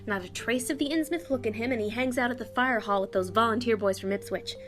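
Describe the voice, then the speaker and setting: A young female shop clerk in a radio play, performed by a woman, chatty and outspoken. Her voice sits a little high. She talks in slangy, folksy confidences with faint music underneath. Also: fast talker, natural voice. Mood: friendly, confiding.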